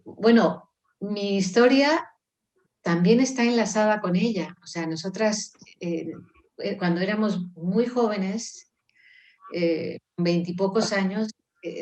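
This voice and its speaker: A woman's voice: medium pitch, darkish tone. A woman around sixty who grew up in Mexico, a musician and poet speaking as a guest over a video call, thoughtful and earnest with frequent hesitant restarts. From Mexico (grew up in Puebla, born in Colombia). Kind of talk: reflective conversational interview.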